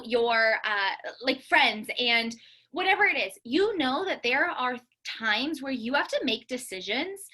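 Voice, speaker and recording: A woman coaches a team in a high, bright voice. She is earnest and emphatic, leaning on key words and repeating phrases to drive them home. The delivery is that of a motivational business talk.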